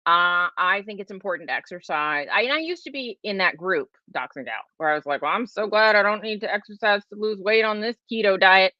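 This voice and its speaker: A woman's voice: mid-range voice, expressive, a little fast, hardly any pauses, no room sound, lo-fi sound. A woman in her forties hosting a casual livestream Q&A, chatty and emphatic, with lots of fillers and restarts. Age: 40s. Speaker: host.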